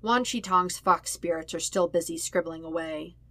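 A woman's voice: on the low side, normal speed, sharp tone, no room sound. A woman reading a story aloud as scripted narration. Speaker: podfic reader of fan fiction.

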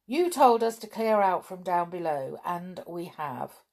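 A woman's voice: lowish voice, lively intonation, measured pace, darkish tone. A woman voicing a proper teenage boy who speaks scornfully and tries to sound grown up.

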